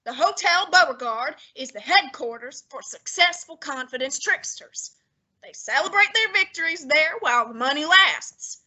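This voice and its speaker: A woman's voice: high-pitched, lively intonation, moderate pace, sharp tone, full character voice, rough recording. A woman playing a pompous, heavyset older male detective in an old-time radio drama, speaking with haughty, fussy, lofty diction. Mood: imperious.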